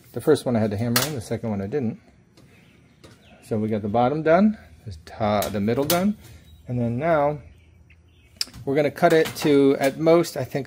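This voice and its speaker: A man's voice: mid-range voice, background noise. A man giving a casual hands-on how-to, thinking aloud informally as he works with tools on camera. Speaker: DIY video maker demonstrating a build.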